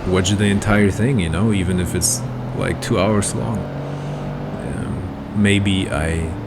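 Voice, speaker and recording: Gaming commentator, male, deep and unhurried, hesitant and rambling, loud engine noise behind. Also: animated, vocal fry. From Kazakhstan. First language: Russian. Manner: rambling, hesitant, self-correcting. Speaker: gameplay commentator. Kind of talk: casual gameplay commentary.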